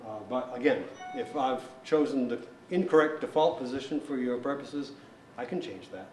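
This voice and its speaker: A man's voice: medium pitch, moderate pace, darkish tone, soft background music. A man giving a hands-on equipment tutorial as a technician, explaining things in a methodical, plain-spoken way. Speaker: technician instructor. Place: large hall. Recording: roomy echo, distant mic, faint noise.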